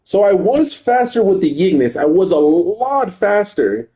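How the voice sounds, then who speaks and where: A man's voice: high voice, sing-song, measured pace, mellow, husky. Rambling, cursing young man streaming a game. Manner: rambling, stutters and repeats words, swears often. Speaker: gaming streamer. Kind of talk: casual gameplay commentary.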